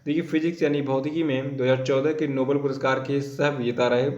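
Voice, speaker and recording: A hoarse man teaching an exam-prep current affairs lesson, going step by step and repeating names and dates for students to memorize. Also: medium pitch, little pitch movement, fairly quick, a little mellow, reverberant, muffled recording.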